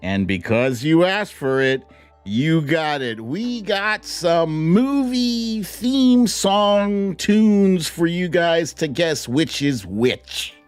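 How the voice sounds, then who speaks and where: A man hosts a live online show with music behind him. His delivery is theatrical and playful, with pitch that swings widely and a slow, drawn-out pace. He addresses his viewers directly, like a showman stretching his exclamations. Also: expressive, clear, resonant tone. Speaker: host of a live streamed show. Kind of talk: live chat-show talk, greeting viewers by name.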